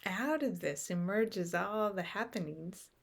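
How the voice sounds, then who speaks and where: A woman leading a guided meditation in short, unhurried phrases with long pauses, softly trailing into breath at the ends. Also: medium pitch, expressive, creaky. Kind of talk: guided meditation, unscripted and contemplative.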